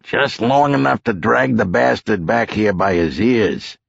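A man voicing a brash, gruff police boss with a commanding, sardonic manner in an audiobook. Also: fairly low pitch, animated, unhurried, no echo, lo-fi sound, voice pushed up, heavily acted. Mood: wry.

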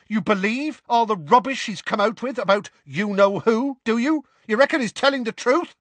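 A man voicing a hostile teenage boy who snaps and yells in disgust. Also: high-pitched, moderate pace, no room sound, pitched above the performer's own voice. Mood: heated. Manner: snapping, yelling, contemptuous.

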